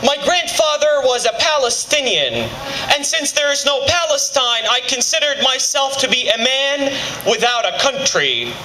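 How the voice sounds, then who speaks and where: A man in his twenties from Kuwait giving an impassioned patriotic speech at a political rally, with emphatic phrasing and deliberate pauses. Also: very high voice, very animated, pushed and bright. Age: 20s. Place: fairgrounds rally, speaking to a crowd. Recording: echoing, noisy outdoor PA recording.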